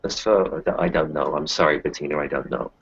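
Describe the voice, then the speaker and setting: Man, very low and gravelly, casual tabletop roleplayer speaking in character, dull recording. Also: a little fast. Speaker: tabletop roleplaying game player.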